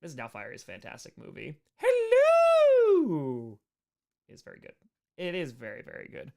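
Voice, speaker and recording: A man's voice: medium pitch, sing-song, fast talker, gravelly, fairly bright tone. A man hosting a casual livestream Q&A, joking and repeating short phrases as he goes. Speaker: streamer hosting a live Q&A.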